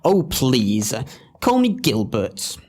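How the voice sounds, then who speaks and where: A man's voice: medium pitch, wildly swooping pitch, takes it slowly, darkish tone, exaggerated voice. A man playing an earnest, bumbling young prince in an audio pantomime, hesitant and prone to stammer. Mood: earnest, tender.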